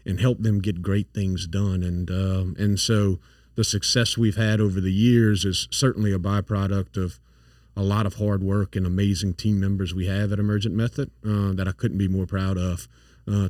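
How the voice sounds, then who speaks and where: A man's voice: sits low, flat delivery, moderate pace, darkish tone, no room sound. A man in his forties with a South Louisiana accent, telling his business story as an interview guest in an earnest way with frequent restarts. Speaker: guest, founder of a consulting firm. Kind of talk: casual interview talk. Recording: dry sound from a distant mic.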